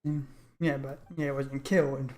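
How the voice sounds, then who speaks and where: A man's voice: mid-range voice, little pitch movement, normal speed, a little mellow. A man streaming a game, giving casual reaction commentary and thinking aloud with fillers.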